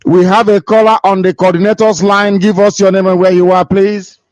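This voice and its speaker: A man hosting a call-in radio show with a somewhat high voice. He speaks in a formal, measured way. The sound is dry and dull, with a lo-fi edge. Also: little pitch movement, a little mellow, dry recording. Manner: formal, repeats station name between calls. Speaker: radio host taking calls.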